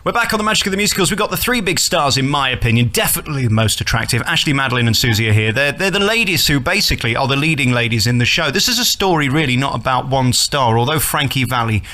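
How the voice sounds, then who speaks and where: A man hosting a radio interview, teasing and flattering his guests. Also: mid-range voice. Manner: teasing, flattering, fast-talking. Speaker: radio host.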